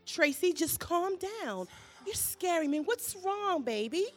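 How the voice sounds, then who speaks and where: A woman's voice: very high-pitched, big pitch swings, slow pace, faint music behind, exaggerated voice. An actress in an audio drama playing a proud, fussing pastor's wife and appearance-minded mother. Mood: alarmed.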